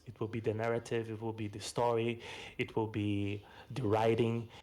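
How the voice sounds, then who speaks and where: A man whose first language is Portuguese talks through his game in a casual voice-chat presentation. His voice sits at an ordinary middle pitch. He speaks loosely, tacks "you know" onto his sentences, and laughs at himself now and then. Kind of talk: casual post-mortem presentation. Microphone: voice chat microphone.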